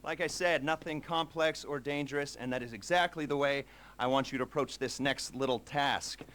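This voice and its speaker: An actor playing a brisk, glib heist planner in a video game cutscene, with a clipped, breezy manner. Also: male voice, medium pitch, fairly bright tone, close to an everyday voice. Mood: breezy, persuasive. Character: brisk, glib male heist planner briefing his crew.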